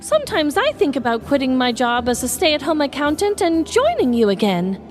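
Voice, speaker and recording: Casting: woman as plucky hobbit stoner lass, very high and swooping, dramatic, comic fantasy audio drama. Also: sing-song, normal speed. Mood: wistful. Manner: dramatic, sing-song, swooping. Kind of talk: comedic fantasy audio parody. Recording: music playing behind.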